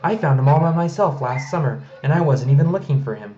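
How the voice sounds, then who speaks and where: A man's voice: fairly high pitch, lively intonation, quick pace, warm tone, soft background music, voice pushed up, lightly acted. A man voicing an eager, insistent little girl in a children's storybook. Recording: slightly noisy, dull home recording.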